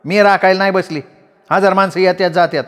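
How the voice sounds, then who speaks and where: A man voicing a fierce young village wife who threatens loudly. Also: sits high, fairly even intonation, fast, voice pushed up. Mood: threatening. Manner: threatening, loud.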